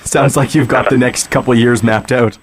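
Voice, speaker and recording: A man's voice: medium pitch, steady tone, brisk, slightly rough. A man hosting a radio interview, asking questions in a conversational way. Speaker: radio host.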